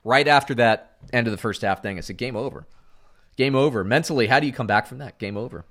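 Middle-aged florida guy ranting about football on a podcast, loud bursts then low mutters. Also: fast talker. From Central Florida. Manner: animated, swings from loud exclamations to muttered asides. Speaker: podcast co-host. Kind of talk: casual sports chat.

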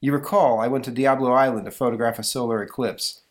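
A man's voice: mid-range voice, steady tone, fast, lightly acted. A man doing an earnest young scientist.

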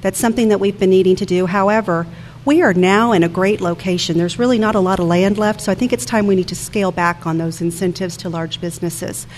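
A woman's voice: mid-range voice, quick pace. A woman in her forties giving earnest campaign remarks as a council candidate, speaking directly to listeners. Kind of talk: prepared campaign remarks at a public forum. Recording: echoey hall with background noise.

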